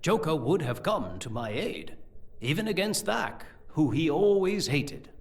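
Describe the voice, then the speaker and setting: A learned, scheming sorcerer-priest played by a male actor in a fantasy audio drama. His voice sits fairly high and swings widely in pitch as he explains things formally and deliberately. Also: very animated, creaky, exaggerated voice. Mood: grave, explanatory.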